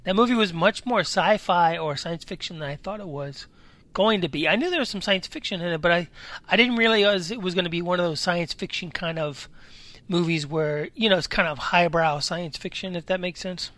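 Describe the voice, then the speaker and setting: Raspy high-voiced chatty man. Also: a little fast, a bit bright, no room sound. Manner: chatty, jumps between topics. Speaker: podcast co-host. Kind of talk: casual chat. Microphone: home microphone.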